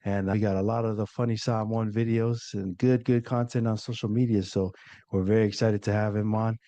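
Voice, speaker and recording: Male podcast host, laid-back, low warm voice, dry close sound. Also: fairly quick, dry recording. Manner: relaxed, laid-back, lots of fillers. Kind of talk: casual interview chat.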